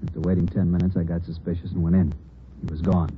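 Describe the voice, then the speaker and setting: A man playing a grim, terse police officer in a 1940s radio crime drama, clipped and brisk. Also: low-pitched, level tone, natural voice. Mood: terse, frustrated. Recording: noisy, tinny old radio recording.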